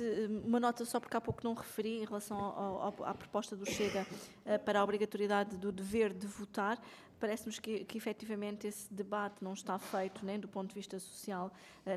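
A woman's voice: mid-range voice, a little mellow. A woman speaking formally in a parliamentary debate, with frequent hesitations. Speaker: member of parliament. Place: parliament chamber. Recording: long chamber reverb, faint room noise.

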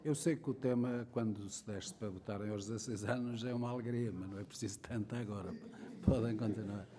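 A man chairs the debate in a brisk, procedural way. He talks quickly, heard from some distance. Clear crowd murmur fills the chamber behind him. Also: on the low side, fairly even intonation. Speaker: session chair. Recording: distant mic with crowd noise.

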